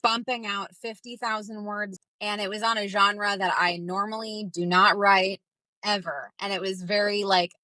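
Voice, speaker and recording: A woman's voice: medium pitch, steady tone, normal speed, sharp tone, no echo, rough recording. A young woman from Minnesota, a ghostwriter guest, who talks casually and rambles with many fillers and restarts. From Minnesota, United States. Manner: rambling, filler-heavy, acts out quoted voices. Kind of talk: casual interview answers.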